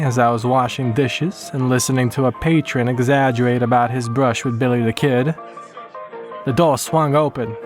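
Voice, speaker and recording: A man giving a scripted first-person western horror narration in measured, folksy storytelling phrases. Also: mid-range voice. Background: plucked guitar music.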